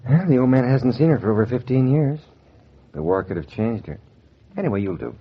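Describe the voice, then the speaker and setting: An actor playing a cocky, smooth-talking American schemer in a clipped, slangy 1940s radio style. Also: male voice, mid-range voice, expressive, fairly quick. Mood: cool, breezy. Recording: muffled noisy 1940s radio recording.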